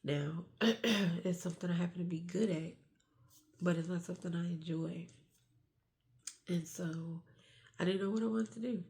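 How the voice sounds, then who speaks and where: A middle-aged woman vlogging a hushed, confessional talk early in the morning, with a stuffy nose from getting sick. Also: on the low side, creaky. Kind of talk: confessional vlog.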